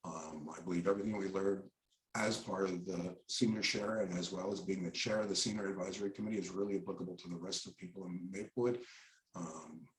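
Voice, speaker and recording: A congested, middle-aged man from New Jersey gives a prepared opening statement as a debate candidate, pausing nervously for breath. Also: sits low, steady tone, reverberant, poor audio.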